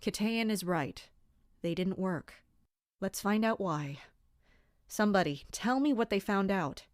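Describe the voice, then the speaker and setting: A woman's voice: mid-range voice, lively intonation, a little slow, no room sound, clean studio sound, natural voice. A woman playing a tense, commanding castle fighter in a first-person dramatic narration. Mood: stern. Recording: music behind the voice. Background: music.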